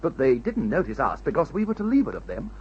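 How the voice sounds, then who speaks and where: A man's voice: medium pitch, wildly swooping pitch, fast, a little hiss or hum. An actor playing an earnest, matter-of-fact young airman in a mid-century radio adventure serial. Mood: amused, matter-of-fact. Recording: muffled old radio serial recording.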